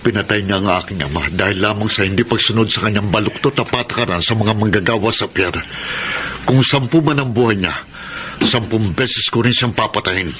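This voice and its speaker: A man's voice: on the low side, fairly quick, noisy background, close to an everyday voice. A man playing an embittered, defiant prisoner in a Filipino radio drama. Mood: vengeful anger. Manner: intense, defiant. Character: embittered imprisoned man who avenged his father.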